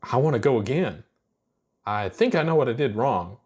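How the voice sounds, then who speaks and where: Audio story role: keen young trainee astronaut performed by a man, lifted pitch, earnest and polite. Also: expressive, moderate pace, darkish tone, husky. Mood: eager.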